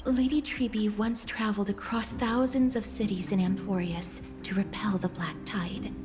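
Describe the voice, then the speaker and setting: A woman voicing a gentle, solemn young noblewoman in a video game, speaking softly in a polite, formal manner. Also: mid-range voice, lightly acted. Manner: polite, formal, soft-spoken.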